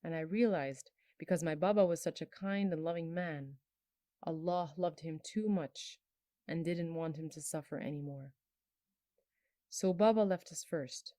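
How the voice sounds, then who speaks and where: A woman in her twenties from Bangladesh, telling her personal story in a soft, earnest, emotional way, her phrases trailing off low. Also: no echo, clean studio sound. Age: 20s. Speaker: storyteller sharing a personal testimony. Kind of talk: personal testimony.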